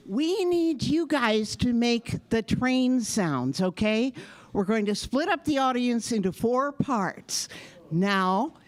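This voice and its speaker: A woman's voice: a little high, slight background noise. A woman presenting live children's audio theater about sound effects, instructing a young audience. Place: live library event before an audience.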